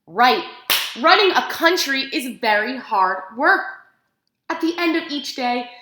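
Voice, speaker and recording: A woman teacher reads a picture book aloud to preschoolers in an animated, expressive way, using simple phrases and putting questions to her young listeners. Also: sits high, measured pace, noisy background. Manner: animated, expressive, simple child-directed phrasing with gasps for effect. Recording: echoey room sound.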